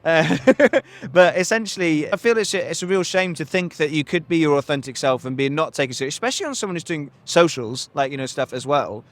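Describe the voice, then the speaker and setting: A man's voice: fairly high pitch, animated, brisk, dry recording. A man hosting a podcast, asking long, rambling questions full of restarts and fillers. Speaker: podcast host. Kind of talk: conversational interview.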